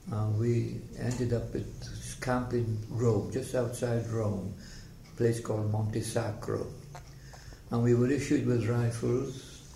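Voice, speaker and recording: An older man with a slight Welsh accent recounts his memories. His voice is gravelly and creaky, and he speaks haltingly and reflectively. The recording sounds like an echoey room with faint background noise. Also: mid-range voice, warm tone. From South Wales. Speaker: interviewee telling life story. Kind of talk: oral history reminiscence.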